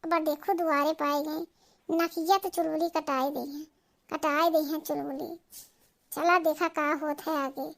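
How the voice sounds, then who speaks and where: A woman voicing a coarse, meddling village mother with an eastern Uttar Pradesh accent, given to drawn-out exclamations, in a comedy cartoon. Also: sits high, little pitch movement, vocal fry. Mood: dismayed. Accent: eastern Uttar Pradesh Bhojpuri-Awadhi.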